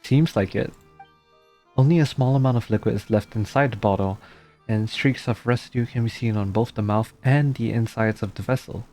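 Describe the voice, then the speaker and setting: Male streamer, relaxed and dark-toned, casually reacting and reading over game music. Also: lowish voice, moderate pace. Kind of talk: casual gameplay commentary, reads dialogue aloud.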